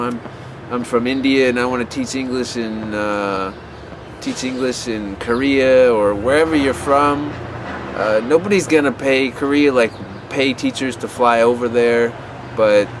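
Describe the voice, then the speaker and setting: A man giving offhand advice about teaching abroad. He rambles and often restarts with fillers. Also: mid-range voice. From USA. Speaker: English teaching advice vlogger. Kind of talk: unscripted advice talk to camera. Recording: outdoor street noise.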